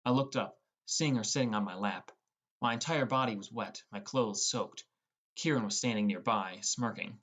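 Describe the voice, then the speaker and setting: A man's voice: fairly low pitch, moderate pace, a bit bright. Young man narrating a story. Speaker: audiobook narrator. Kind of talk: scripted first-person narration.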